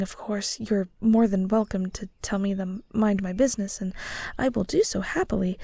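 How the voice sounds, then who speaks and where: A woman playing a shy, kind ghost in an intimate audio roleplay, speaking softly and hesitantly with stammers and nervous little laughs. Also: mid-range voice, dry recording. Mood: gentle, concerned. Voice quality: soft, breathy. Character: shy, kind female ghost shade, awkward and caring. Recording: soft guitar music behind. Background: gentle guitar music.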